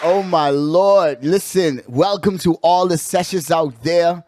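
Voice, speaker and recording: A man's voice: a little high, slow, darkish tone, no room sound, some chatter behind. A man hosting a casual sports talk show, slangy and emphatic, often repeating his catchphrases. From United States. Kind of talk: casual hyped sports chat.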